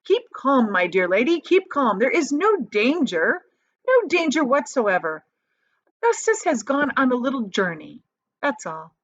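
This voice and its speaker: An eccentric gentleman chocolate maker from a storybook, played by a woman. He is airy and dismissive, emphatic and theatrical, with a high, swooping delivery. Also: sing-song, unhurried, no room sound, dull audio, exaggerated voice. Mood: breezy reassurance. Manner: emphatic, theatrical, soothing yet flippant.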